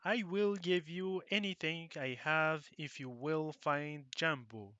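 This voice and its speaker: A man's voice: on the high side, slow, muffled recording, over loud music. Man reading as a worried, earnest storybook king. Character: worried male king who dotes on his pet.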